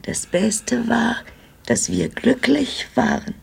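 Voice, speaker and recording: An actress playing a frail, tender old grandmother in a radio drama, forgetful and resigned, her voice gravelly and half-whispered. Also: fairly high pitch, very animated, full character voice. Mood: wistful. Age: older. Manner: slow, musing.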